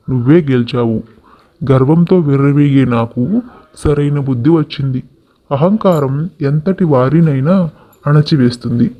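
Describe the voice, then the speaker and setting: A man voicing a proud, boastful racehorse in a scripted animated moral story, speaking in a haughty, lecturing way. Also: medium pitch, highs cut off, close to an everyday voice. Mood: humbled. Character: proud, boastful male racehorse who looks down on others.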